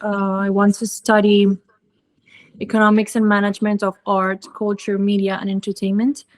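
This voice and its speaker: A woman's voice: medium pitch, completely flat delivery, moderate pace. A woman from Venezuela, a webinar participant talking hesitantly over a video call. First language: Spanish. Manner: hesitant, apologetic, with restarts. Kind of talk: casual conversation.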